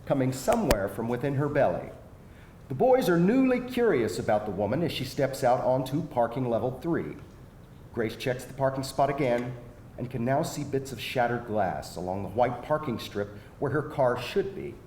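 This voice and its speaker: A man narrating screenplay directions at a live table read, in a dramatic storytelling manner with measured pauses. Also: big pitch swings, a little mellow. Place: echoing indoor venue at live reading. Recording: echoey live room, faint rumbling noise.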